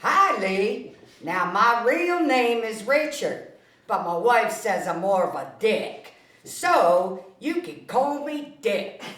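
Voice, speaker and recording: Echoey distant room, woman as fun-loving fortysomething guy, highish voice, slow folksy delivery. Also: big pitch swings, a bit bright. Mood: easygoing. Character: fun-loving man in his forties, slightly high-voiced. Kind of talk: screenplay table read.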